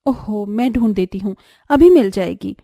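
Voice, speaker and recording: A woman voicing a caring, dutiful young sister-in-law in a cartoon story, gentle and helpful. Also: medium pitch, normal speed, no room sound, clean studio sound, pitched below the performer's own voice, close to an everyday voice. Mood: kindly. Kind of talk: Hindi cartoon story acting.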